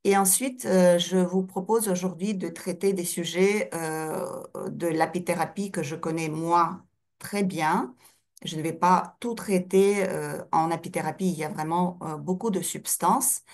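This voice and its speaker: Slow, gravelly middle-aged woman. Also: lowish voice, fairly even intonation. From Russia and Ukraine. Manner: hesitant, searching for words. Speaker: guest speaker, naturopath. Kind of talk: online lecture introduction.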